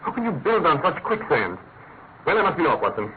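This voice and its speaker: An actor playing an incisive, commanding British detective in a classic radio mystery, speaking in an emphatic, articulate way. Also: male voice, on the high side, sing-song, fairly quick. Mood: probing, wry. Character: incisive male detective, brisk, commanding, theatrically analytical. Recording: tinny, noisy old radio recording.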